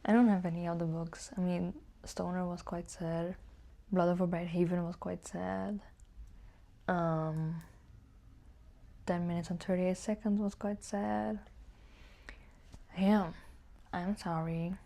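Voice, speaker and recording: A woman's voice: on the low side, level tone, slow, creaky, long pauses, dark tone. A woman book vlogger chatting casually and informally to camera, musing aloud with some hesitation. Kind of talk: casual chat to camera, answering tag questions.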